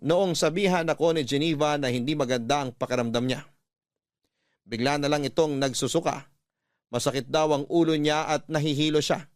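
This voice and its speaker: A man's voice: a little high, dry recording. A Filipino man narrating a first-person letter in a dramatic, sorrowful radio-drama style. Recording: dry studio voice over music.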